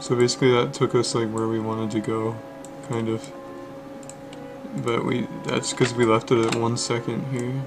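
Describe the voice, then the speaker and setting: Relaxed monotone young man. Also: mid-range voice, normal speed. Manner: monotone, relaxed, lots of "like" and "basically". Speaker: streamer. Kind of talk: casual coding stream walkthrough. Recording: music playing under the voice. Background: music and keyboard typing.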